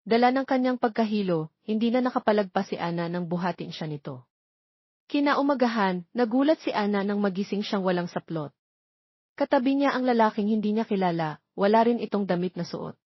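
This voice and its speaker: A woman's voice: medium pitch, lively intonation, brisk, no room sound, dull audio. A woman reading a scripted romance story as an audiobook narrator.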